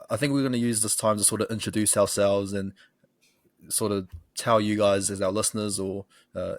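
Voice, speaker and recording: Low, flat-pitched New Zealand man. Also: no room sound, clean studio sound. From Auckland, New Zealand. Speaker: podcast host, sports journalist. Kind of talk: casual podcast chat.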